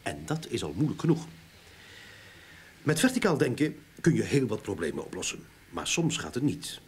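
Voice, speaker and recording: An actor playing a talkative, enthusiastic sleuth in a radio drama, explaining things eagerly in a husky voice with emphatic repeats. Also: male voice, medium pitch, sing-song, normal speed. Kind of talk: Dutch radio drama acting.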